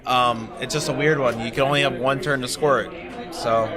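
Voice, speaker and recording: A soft-spoken man, a tournament judge, talking casually and explaining himself. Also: mid-range voice, steady tone, normal speed. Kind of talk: casual unscripted chat. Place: gaming tournament hall. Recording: loud crowd noise in event hall.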